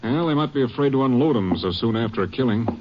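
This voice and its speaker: A man acting a practical Texas Ranger captain in a 1950s radio drama, with folksy, deliberate authority. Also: mid-range voice, animated, a little hiss or hum, natural voice. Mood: thoughtful. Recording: muffled old radio recording.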